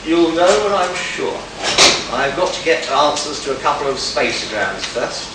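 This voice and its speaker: A man playing a stern, shrewd detective with deliberate, declamatory delivery in an amateur radio play, recorded from a distance in an echoey, noisy space. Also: on the high side, lively intonation, close to an everyday voice. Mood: grave, deliberate. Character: stern, shrewd male detective accusing murder suspects.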